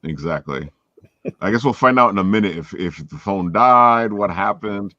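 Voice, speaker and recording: A man's voice: on the low side, expressive, normal speed, pushed and bright, no echo, muffled recording. A man with a strong voice hosting a casual live chat over a video call. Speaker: podcast host.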